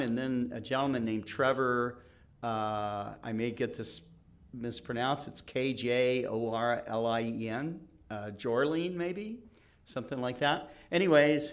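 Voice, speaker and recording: A chatty man in his sixties hosting a casual online show. He rambles through announcements with plenty of fillers and laughs easily, at a middle pitch. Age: 60s. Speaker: show host. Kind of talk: casual chat.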